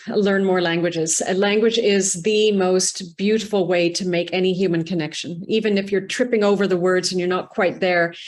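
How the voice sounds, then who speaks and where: A Canadian woman speaking as a guest on an interview podcast, talking in a measured, thoughtful way and stressing key words. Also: lowish voice, a little mellow. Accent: Canadian English.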